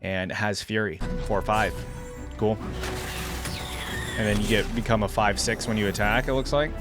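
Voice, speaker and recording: A man's voice: fairly low pitch, steady tone, normal speed, over music. A man giving casual gaming reaction commentary as a host, conversational and full of asides and questions to viewers.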